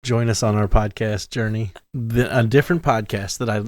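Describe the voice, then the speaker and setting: A man's voice: on the low side, moderate pace, no room sound. Rambling male podcast co-host. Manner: rambling, animated, stammers on restarts. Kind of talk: casual chat.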